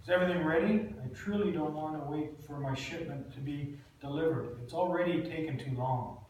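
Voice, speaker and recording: A man's voice: a little high, a little fast, a little mellow, close to an everyday voice. A man reading the part of a secretive, impatient geneticist in his fifties. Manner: impatient, clipped. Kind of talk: table read. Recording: echoey room, mic far off, faint noise.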